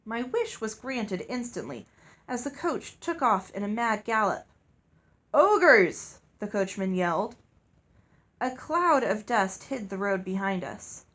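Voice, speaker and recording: A woman's voice: medium pitch, lively intonation, unhurried, a bit bright. A woman reading a story aloud as narrator. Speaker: audiobook reader.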